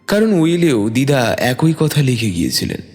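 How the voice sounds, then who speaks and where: Male narrator for audio fiction, medium pitch, lively intonation, soft music underneath. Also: normal speed. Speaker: story narrator. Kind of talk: scripted dramatic narration. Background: soft background music.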